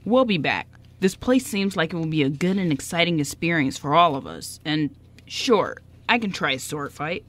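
A woman acts out a polite, earnest young man for an amateur radio play. The voice sits low for a woman and the delivery is deliberate and courteous. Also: animated, fairly bright tone. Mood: polite, eager. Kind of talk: amateur radio drama acting.

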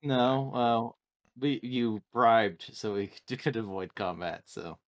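A man's voice: mid-range voice, steady tone, slow, dry recording. A man chatting casually as one of the players in a tabletop game.

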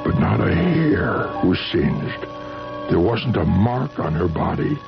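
A shaken old husband in an old radio drama, low creaky voice, stumbles dramatically over words. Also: male voice, sing-song, heavily acted. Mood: haunted, agitated. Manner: halting, repeating words, dramatic. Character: distraught older husband recounting his wife's strange tale. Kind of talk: 1970s radio drama acting. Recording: muffled vintage radio recording, loud music.

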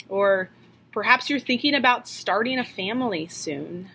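Woman, thirties, pastor, slow earnest sermon, webcam at home, higher voice. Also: bright, forceful tone, a little hiss or hum, low-quality recording. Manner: earnest, questioning, slows for emphasis. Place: home office. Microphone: webcam at desk.

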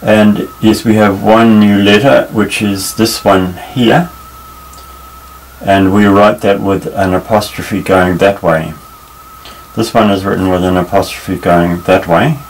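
A man teaching a Bible Hebrew lesson at a table, explaining patiently with many pauses and restarts. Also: low-pitched, level tone, a little hiss or hum, rough recording. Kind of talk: unscripted tabletop lesson, explaining while pointing. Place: indoors at a table.

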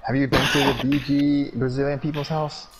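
A man's voice: mid-range voice, level tone, moderate pace, rough recording. A young man joins his friend's game session with short, dry remarks. His voice stays in an ordinary mid range, and a faint hiss runs under it. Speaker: gaming friend. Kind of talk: casual gaming chat.

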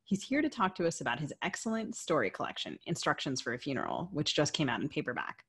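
Low, husky, animated woman podcast host. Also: fast. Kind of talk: conversational podcast hosting with scripted introductions.

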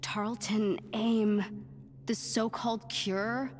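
A woman's voice: on the high side, little pitch movement, takes it slowly, a little hiss or hum. An actress playing an earnest, stubborn young American woman in a cinematic video game. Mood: earnest, urgent.

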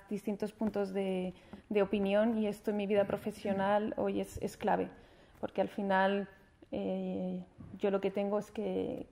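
A woman in her forties from Spain gives a formal alumni speech into a table microphone in a large hall. Her voice is dark, low-toned and gravelly, with a narrow, even pitch. She talks earnestly, often restarting her phrases. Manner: earnest, with frequent restarts and fillers; gestures with her hands as she speaks. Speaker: honored alumna speaker. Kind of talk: formal speech at a university ceremony.

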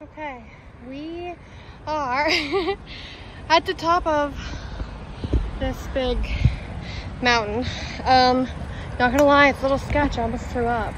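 A woman's voice: high voice, fairly even intonation, slow, pauses often, background noise. A young woman from Canada chatting casually to her phone camera on an outdoor outing, with lots of fillers and giggles. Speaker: vlogger. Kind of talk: casual travel vlog. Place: outdoors by a river in a forest. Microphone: phone held at arm's length.

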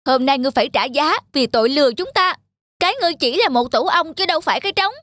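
A woman voicing a greedy, gullible, hot-tempered monkey in a children's cartoon, excitable in manner. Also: sits high, fast, no echo, clean studio sound. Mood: angry. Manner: eager, excitable. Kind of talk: children's cartoon fable dialogue. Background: background music.

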